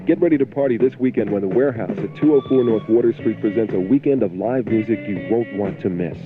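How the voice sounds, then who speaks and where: A man's voice: on the low side, fast talker. A man reading a promotional radio commercial as an announcer. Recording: tinny phone-like 1980 radio recording, loud music. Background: music.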